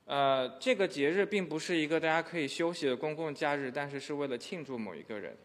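A man's voice: a little high, fast. Hesitant male church interpreter. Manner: hesitant, many filler sounds. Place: church hall. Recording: echoey hall.

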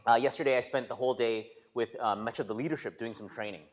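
A man preaching as a guest speaker, pausing for an interpreter, with stop-start phrasing, repeated words and light self-deprecating humor, in a reverberant church. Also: mid-range voice. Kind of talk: sermon delivered through an interpreter. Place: church sanctuary.

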